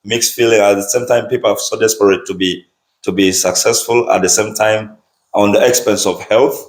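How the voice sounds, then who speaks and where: A man's voice: fairly low pitch, steady tone, moderate pace. A man from Uganda hosting an interview, talking in a friendly, informal way with many fillers. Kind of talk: casual interview chat. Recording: echoey room.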